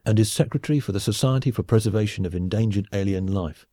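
A man's voice: on the low side, fast, mellow, no echo, studio quality, close to an everyday voice. A man voicing a polite, slightly baffled spaceship captain in an audiobook, hesitant and understated. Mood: mild, musing. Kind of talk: audiobook character acting.

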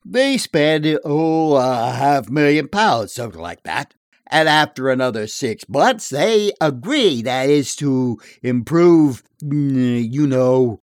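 A man's voice: medium pitch, lively intonation, slow pace, dry recording, studio quality. A man voicing a brash barroom joker in an audiobook, telling dirty yarns in an emphatic, drawling, slangy, conspiratorial way. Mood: hesitant, bawdy. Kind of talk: audiobook character dialogue.

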